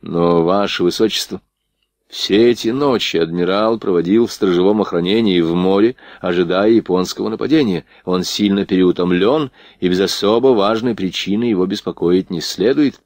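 Dry-mannered naval officer, read by a man, lowish pitch, formal, restrained, audiobook dialogue, close dry sound. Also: moderate pace, darkish tone, no echo, close to an everyday voice. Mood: politely firm. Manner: formal, restrained, politely firm. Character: dutiful, dry, reserved young naval lieutenant.

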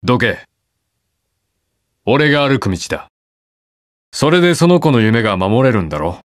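Man acting a gruff masked hero, swinging pitch. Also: sing-song, normal speed, dry recording, clean studio sound, lightly acted. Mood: brash, irritated. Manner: curt, brusque, rough casual speech. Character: gruff, reluctant young male masked hero, stubbornly protective. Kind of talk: video game voice lines, battle quips.

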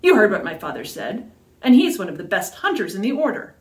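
A woman's voice: mid-range voice, very animated, a little fast, distant mic, close to an everyday voice. Scornful apprentice hunter girl, read by a woman. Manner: scornful, drawling. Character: cold, confident teenage hunter's apprentice girl. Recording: roomy echo.

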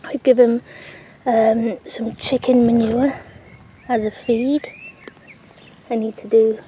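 Relaxed, slow-talking woman outdoors. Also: on the high side, a little hiss or hum. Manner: chatty, rambling, frequent ums. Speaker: allotment vlogger. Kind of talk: casual gardening vlog narration. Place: sunny outdoor allotment garden. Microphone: handheld camera, speaker off screen.

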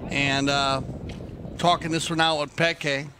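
A man's voice: fairly high pitch, little pitch movement, slow pace, noisy background. A man giving chatty, folksy color commentary on a live polo match.

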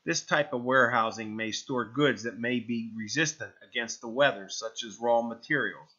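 Bright-voiced man reading, dull recording. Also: mid-range voice, normal speed. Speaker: narrator of a real estate explainer. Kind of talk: scripted narration read from slides.

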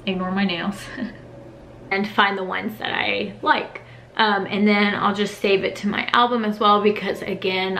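A young woman in her twenties chatting casually to camera in a small bedroom, relaxed and full of ums and likes. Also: medium pitch, creaky, echoey room, slight background noise. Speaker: vlogger. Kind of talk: casual vlog chat to camera. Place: small wood-paneled bedroom, indoors.

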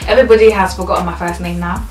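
A woman's voice: on the low side, fairly even intonation, rapid-fire, heavy background noise. A young woman doctor in her twenties, telling stories playfully with laughter. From Ghana heritage. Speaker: guest, doctor. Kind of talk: casual chat. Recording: roomy sound from far off, music.

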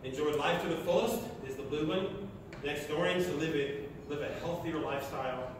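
A man's voice: fairly high pitch, moderate pace. Echoey, distant American man giving a talk. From Oklahoma, United States. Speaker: speaker at a sustainability meeting. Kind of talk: motivational talk to an audience. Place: large lecture hall, indoors. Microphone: distant camera at the back of the room. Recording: echoey hall from far off, noisy.

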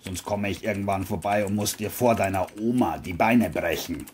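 A narrator voicing a burly middle-aged male carpet dealer in an audio crime drama, gruff, taunting and smug. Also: sits low, steady tone, moderate pace, darkish tone, slight background noise, voice pushed down, lightly acted. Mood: smug, threatening.

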